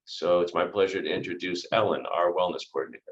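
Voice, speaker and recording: A man's voice: low voice, fast talker. A middle-aged man hosting a video call from a small office, introducing staff with upbeat thanks and frequent repeated words. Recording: dull video call audio.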